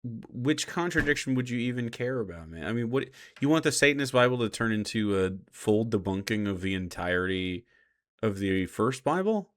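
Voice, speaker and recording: A man's voice: on the low side, lively intonation, moderate pace. A man doing casual live reaction commentary as a streamer, argumentative, sarcastic and given to swearing.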